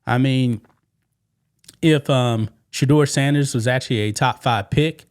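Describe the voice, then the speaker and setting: Guy doing sports news commentary, mid-pitched voice, chatty, says man a lot and asks rhetorical questions. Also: measured pace. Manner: conversational, punctuates remarks with "man" and rhetorical questions. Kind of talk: casual opinion commentary on sports news, reading quoted statements.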